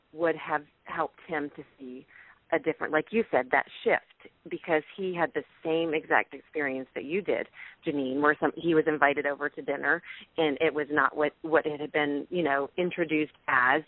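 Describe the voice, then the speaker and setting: A woman's voice: sits low, no room sound. A woman taking part in a telephone conference call, talking in an enthusiastic, emphatic and conversational way from personal experience. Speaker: participant on a business conference call. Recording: narrow, tinny telephone conference line.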